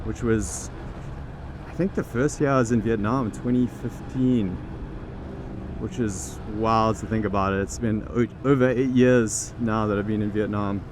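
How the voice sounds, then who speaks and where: A man's voice: lowish voice, measured pace. A man talking casually and thinking aloud as he rides a motorbike through city traffic outdoors. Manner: relaxed, chatty, thinking aloud with restarts. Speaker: vlogger and teaching program founder. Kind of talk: casual talk to camera while riding. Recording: traffic noise behind.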